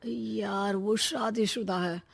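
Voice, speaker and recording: A woman voicing a mild, earnest young adult brother in a cartoon story, speaking in a soft, explanatory manner. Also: mid-range voice, steady tone, slow pace, creaky, slightly rough, exaggerated voice. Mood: hesitant, confiding. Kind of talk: dramatized Hindi cartoon story dialogue.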